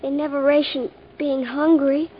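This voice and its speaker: A woman's voice: level tone, a little slow. A pleading little hungry girl. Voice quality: high, soft and childlike. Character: small hungry dream girl, pleading and insistent. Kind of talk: 1940s radio acting. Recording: muffled old radio recording.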